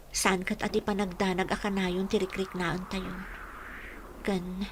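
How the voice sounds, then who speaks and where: A woman's voice: medium pitch, slight background noise, natural voice. A woman playing an earnest, sorrowful young village woman who hopes for peace, acted in an Ilocano radio drama with an Ilocano accent.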